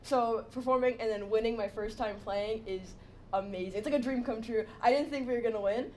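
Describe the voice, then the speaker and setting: A teenage girl, a student band winner, talking in an excited, happy way. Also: on the high side, a little fast, a little hiss or hum. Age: teens.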